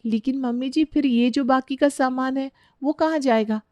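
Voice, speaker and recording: A woman's voice: high voice, moderate pace, dark tone, no echo, lo-fi sound, pitched above the performer's own voice, lightly acted. A woman voicing a hesitant, puzzled young Indian newlywed daughter-in-law, polite and questioning.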